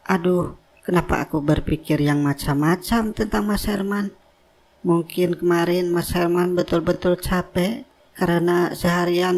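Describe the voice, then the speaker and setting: A woman playing a devoted, worried young wife in a cartoon drama, speaking in a measured, plaintive, earnest way. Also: fairly low pitch. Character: devoted young wife, worried, doubting her husband's love. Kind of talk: cartoon drama inner monologue.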